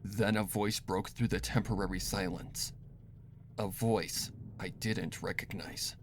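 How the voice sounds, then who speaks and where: A story reader delivers dramatic first-person narration. The pace is slow and the manner is grave and hushed. Faint noise sits behind the voice. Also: sits low, fairly even intonation.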